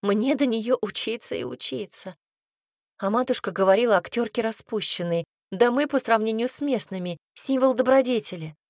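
A woman narrating a first-person story as an audiobook, reading in an even, expressive way. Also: on the high side, moderate pace, no echo, studio quality.

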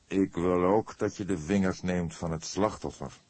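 A man voicing a gruff older police detective in an audiobook, dry and instructing. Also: sits low, steady tone, moderate pace, darkish tone, dry recording.

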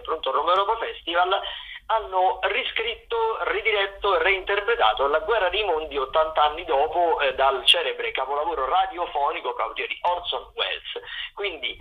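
Phone-like, higher-voiced male radio host. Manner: halting, improvised delivery with drawn-out words and self-corrections. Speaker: radio host presenting theatre listings. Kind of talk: unscripted radio programme rundown of upcoming shows. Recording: tinny, phone-like radio sound.

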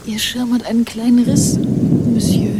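An actress playing a coy, whimsical young Parisian mademoiselle in a radio crime drama. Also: female voice, a little high, little pitch movement, normal speed, close to an everyday voice. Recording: noisy, with rain and thunder.

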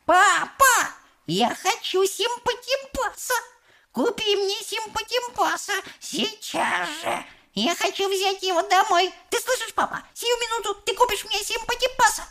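A man voicing a spoilt little girl in an audiobook, whining and demanding in a shrill voice. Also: a little slow, sharp tone, full character voice. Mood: bratty demanding. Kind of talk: audiobook character voice.

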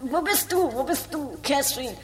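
A performer voicing a bossy, excitable, melodramatic woman in a campy improvised horror-comedy radio play, exclaiming theatrically. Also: high-pitched, normal speed, some chatter behind. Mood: loud, agitated. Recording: noisy homemade 1980s tape recording.

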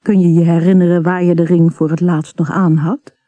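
A woman reading the part of a gentle, reassuring young husband in a story. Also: fairly low pitch, level tone, a little fast, dry recording, faint music behind, close to an everyday voice. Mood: solicitous.

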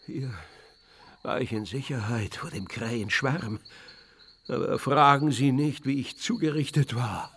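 An actor playing a pompous old eagle owl in a children's radio play, formal and theatrically aggrieved. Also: male voice, medium pitch, a little slow, gravelly, fairly bright tone, slight background noise. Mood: weary, grave.

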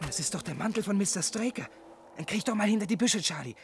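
A man's voice: high voice, lively intonation, quick pace, creaky, soft background music. A man playing a helpful, alert young stable lad in a radio play. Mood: alert, eager. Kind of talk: German radio play acting.

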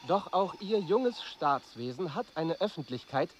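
An eager young North American newspaperman in a radio drama. He speaks formally and flatteringly in a somewhat high male voice, with faint noise behind him. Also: a little slow. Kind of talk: radio play acting.

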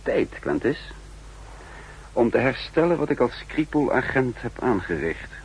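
An actor playing a cool, commanding spymaster and double agent in a 1960s Dutch radio drama, speaking in an assured, deliberate way. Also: male voice, medium pitch, very animated, a little hiss or hum, poor audio. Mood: calm, confiding.

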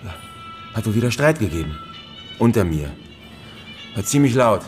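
Low-voiced, prim, evasive neighbour. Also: male voice, sing-song, a little mellow, soft background music. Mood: guarded. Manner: guarded, fastidious, halting. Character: reserved, prim, evasive male apartment-block neighbour. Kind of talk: naturalistic radio drama dialogue.

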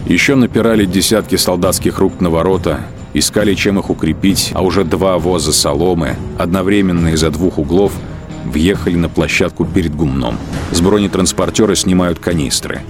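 A man narrating a literary radio drama in a measured, grave storytelling manner. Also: low-pitched, lightly acted. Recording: music bed behind the voice.

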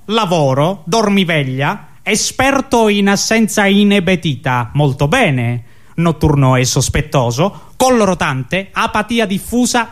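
Man acting comedy job interviewer, brisk, officious, matter-of-fact, high male pitch, varied intonation. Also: full character voice. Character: dry, brisk job interviewer reading a résumé.

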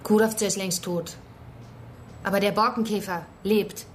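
An actress in a radio drama playing a defiant, bitter female activist, sarcastic and quick to swear. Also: medium pitch, fairly even intonation, a little hiss or hum, lightly acted. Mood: scornful anger.

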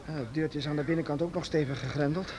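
A man's voice: fairly high pitch, fairly even intonation, quick pace, close to an everyday voice. A man playing an earnest young man. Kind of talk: radio drama acting. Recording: old radio play recording with hiss.

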